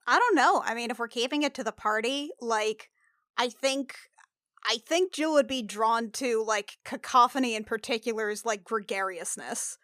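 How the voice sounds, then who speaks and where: A woman's voice: a little high, moderate pace, fairly bright tone, no room sound, clean studio sound. A woman chatting casually as a podcast panelist, thinking aloud in loose, hesitant phrases. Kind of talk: casual chat.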